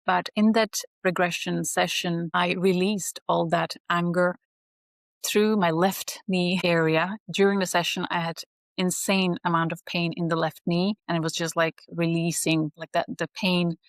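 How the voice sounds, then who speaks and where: A woman, speaking as a guest in an earnest, measured way. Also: lowish voice, little pitch movement, dry recording. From Slovakia. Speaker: astrology teacher, guest. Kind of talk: reflective interview talk.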